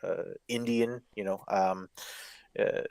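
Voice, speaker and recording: A man's voice: deep voice, fairly even intonation, slow pace, creaky, darkish tone, no room sound. Male podcast co-host, rambling and emphatic, dry close sound. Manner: rambling, emphatic, swears casually. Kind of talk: casual live podcast chat.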